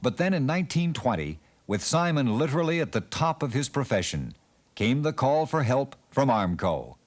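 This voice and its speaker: A man giving scripted documentary narration in a measured, expressive storytelling manner. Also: medium pitch. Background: band music.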